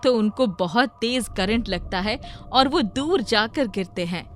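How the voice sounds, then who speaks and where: A woman's voice: a little high, animated, measured pace, fairly bright tone. A woman reading scripted moral-story narration as the storyteller. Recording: music behind the voice.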